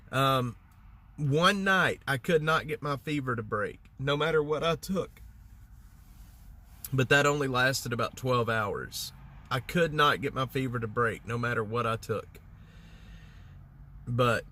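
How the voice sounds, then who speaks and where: A man's voice: medium pitch, bright, forceful tone. A man, congested, chatting casually on a livestream in a rambling way with lots of fillers and restarts. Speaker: livestream host. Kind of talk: casual livestream chat with viewers.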